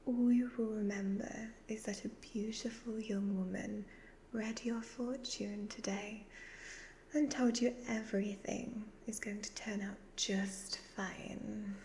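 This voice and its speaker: Woman doing an evil seductive witch for asmr, half whispering, creaky n really slow, gloating. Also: medium pitch, fairly even intonation, warm tone. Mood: calm, commanding. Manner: slow, deliberate, hypnotic, condescending. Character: evil ageless witch, youthful-looking, gloating, seductive, predatory. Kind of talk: soft-spoken ASMR roleplay. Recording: faint background noise.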